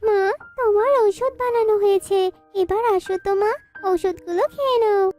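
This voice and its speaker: A woman's voice: very high-pitched, moderate pace, darkish tone, soft background music. A woman voicing a devoted young daughter bird, plaintive and earnest, in a children's cartoon melodrama. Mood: caring, insistent.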